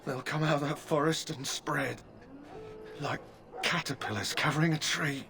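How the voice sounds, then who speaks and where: A man's voice: on the high side, normal speed, creaky. A man voicing a terrified soldier in a video game, rambling frantic warnings that everyone will die. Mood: panicked. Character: terrified male soldier raving about doom.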